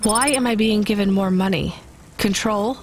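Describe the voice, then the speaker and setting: A woman's voice: mid-range voice, normal speed, lightly acted. Woman acting a wary young delivery driver. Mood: alarmed, questioning. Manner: questioning, direct. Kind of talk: video game voice acting. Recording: faint vehicle noise behind.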